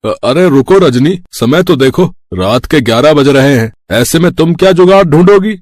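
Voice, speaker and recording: A man's voice: a little high, lively intonation, normal speed, darkish tone, dry recording. Man voicing a cautious village husband, dry sound. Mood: alarmed. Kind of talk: animated moral story character dialogue.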